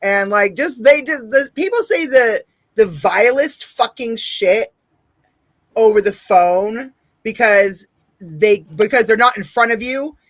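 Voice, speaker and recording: Blunt, deep-voiced woman host. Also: lively intonation, takes it slowly, no echo. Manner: blunt, profane, laughs often. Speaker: podcast host. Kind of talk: casual interview chat.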